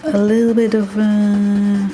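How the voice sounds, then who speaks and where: A woman with a Dutch accent, a non-native English speaker, talking to herself as she draws on a live stream, halting and hunting for words. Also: medium pitch, level tone, mellow, a little hiss or hum. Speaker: artist live-streaming while drawing. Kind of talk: thinking aloud while drawing.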